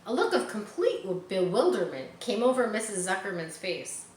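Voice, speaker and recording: A woman reading a children's story aloud in an expressive narrating style. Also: mid-range voice, normal speed. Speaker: audiobook reader. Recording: echoey room, faint background noise.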